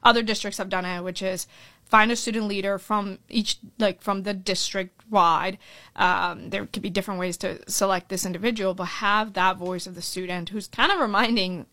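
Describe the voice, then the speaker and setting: Woman, young adult, from Colombia, school board candidate, earnest, flowing speech, mid-pitched. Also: steady tone, sharp tone, dry recording. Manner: earnest, fast-flowing with frequent "right?" tags. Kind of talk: podcast interview.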